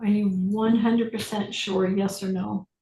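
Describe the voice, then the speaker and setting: A woman facilitating an online group session, guiding people through questions in a calm, slow, deliberate way. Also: medium pitch, reverberant, a little hiss or hum, rough recording. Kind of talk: guided inquiry on a group video call.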